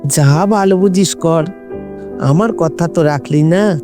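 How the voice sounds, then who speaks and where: An actor, a man, playing a worried mother who gently reproaches a grown son in a plaintive audio-story delivery. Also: a little high, slow. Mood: resigned, hurt. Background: piano music.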